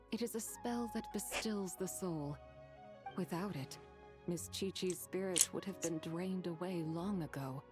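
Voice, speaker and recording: A woman voicing a courteous, wise immortal in a video game, with formal, measured, old-fashioned delivery. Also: on the low side, close to an everyday voice. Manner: formal, measured, archaic wording.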